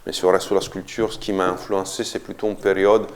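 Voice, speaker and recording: A man's voice: deep voice, level tone, a little fast. A man, a sculptor speaking French in a documentary interview, thoughtful and hesitant, often repeating words as he searches for them. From Italy. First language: Italian.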